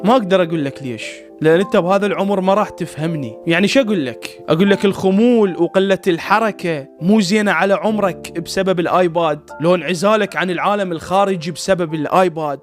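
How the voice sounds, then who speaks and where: Iraqi dude, pretty high voice, rattles along fast, casual scolding storyteller, light music under him. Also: male voice. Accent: Iraqi Arabic. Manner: chiding, big-brotherly, rhetorical questions. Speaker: storyteller and online content creator. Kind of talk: casual comedic chat in a cartoon.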